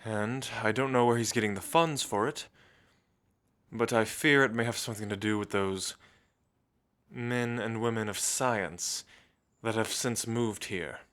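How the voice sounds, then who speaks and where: A man playing a refined, centuries-old gentle vampire in an intimate ASMR roleplay, speaking in measured, old-fashioned phrases with thoughtful pauses. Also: lowish voice, clear, resonant tone. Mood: brooding, wistful.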